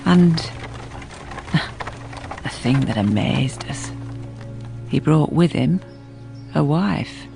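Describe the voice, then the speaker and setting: Female servant narrator, creaky low voice, calm, confiding, period radio drama, faint music. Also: very slow, long pauses, full character voice. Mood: reflective. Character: sensible female servant, calm storyteller looking back.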